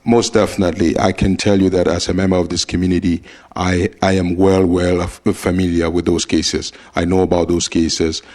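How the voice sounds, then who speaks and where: A man from Cameroon in his forties, an immigration attorney answering interview questions in a measured way with frequent hesitations. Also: low voice. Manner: measured, steady, with frequent uh fillers.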